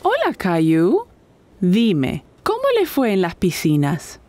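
A woman's voice: on the low side, huge pitch swings, slow pace, a little mellow, no echo, faint music behind, heavily acted. A woman voicing a kind, cheerful, attentive mother in a children's cartoon, explaining simply and encouragingly.

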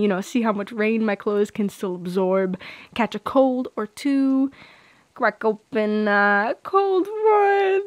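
A woman's voice: fairly high pitch, dry recording. A young woman playing a shy, teasing friend with a secret crush in an intimate ASMR roleplay, playful and a little hesitant.

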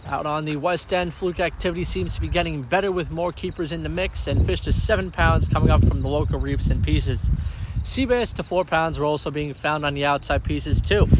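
A man's voice: fairly high pitch, very noisy. A young man hosting a fishing report, reading scripted news and interviewing an angler in a tackle shop. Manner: easygoing, encouraging interviewer. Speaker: fishing show host and managing editor.

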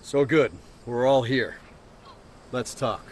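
An actor playing a tough, teasing army sergeant in an audio drama, terse and dry. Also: male voice, mid-range voice, slow. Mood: dry, challenging.

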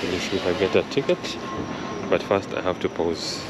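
A young man chatting slowly and thoughtfully as he films a walking travel vlog, first outdoors at a rainy harbor, then inside a concert hall. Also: deep voice. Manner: slow, thoughtful, chatty, says he struggles with R and L. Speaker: travel vlogger. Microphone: camera held close at chest height. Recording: street noise behind the voice.

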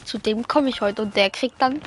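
A woman streaming a video game with friends, excitable, reading chat aloud and laughing often. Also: on the high side, moderate pace, heavy background noise. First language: German. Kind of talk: casual live-stream gaming chat.

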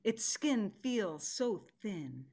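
A woman's voice: mid-range voice, sing-song, a little mellow, exaggerated voice. A middle-aged woman playing a formal, stern tiger who presides over an animal council in a play reading on a video call. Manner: ceremonious, deliberate. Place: living room at home.

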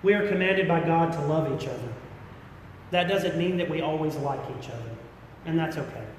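A middle-aged American man preaching a sermon as a pastor, speaking earnestly and deliberately with emphatic repetition. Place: large church interior. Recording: echoey hall with rumbling background noise.

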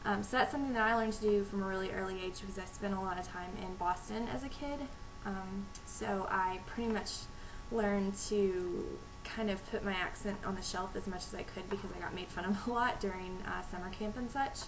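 Young North Texas woman, chatty vlogger, creaky voice, low-volume webcam mic with audible hiss. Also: fairly quick, few pauses. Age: young adult. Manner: chatty, frequent ums. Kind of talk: casual talk to camera, reading a word list. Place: small room at home, indoors. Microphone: webcam microphone, low volume.

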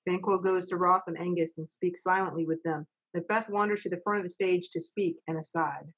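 Middle aged lady narrating stage directions, kinda raspy, muffled video call. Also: on the low side, steady tone, brisk. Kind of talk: reading stage directions aloud. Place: home office, indoors. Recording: dull lo-fi video-call audio.

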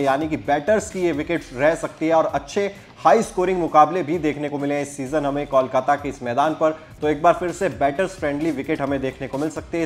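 A young man presenting a scripted cricket match preview to camera from a studio, in a brisk, fluent news style. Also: fairly high pitch. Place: studio with virtual backdrop.

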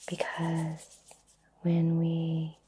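A woman whispering breathily in a soothing, reassuring way with long pauses, guiding an ASMR Reiki session. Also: deep voice, monotone, mellow, slight background noise. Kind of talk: whispered ASMR personal attention.

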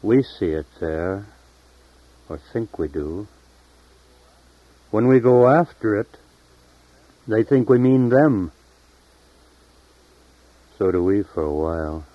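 A man reading his own poetry in a solemn, measured, deliberate way with long pauses, as a poet at a reading. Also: lowish voice, lively intonation, no room sound. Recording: dull lo-fi 1970s tape recording.

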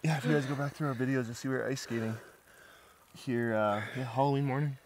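A man's voice: mid-range voice, steady tone, fast, slight background noise. A man in his thirties chatting casually in an easygoing way while sitting in a cold pond outdoors, picked up by a distant camera. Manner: easygoing, softens into whispers with the dog. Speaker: homesteading vlogger. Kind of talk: casual chat. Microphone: far camera. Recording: faint outdoor ambience.

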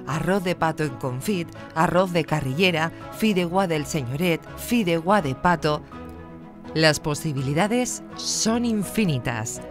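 A woman with an accent from Spain, presenting a television food show with scripted narration. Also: sits low. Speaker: TV cooking show host.

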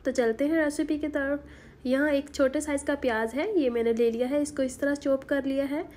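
A woman, hoarse from a sore throat, giving a chatty home cooking voice-over with many fillers. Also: high voice, fairly even intonation, moderate pace, a little mellow. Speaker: cooking channel host. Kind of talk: recipe voice-over. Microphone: voice-over recorded separately.

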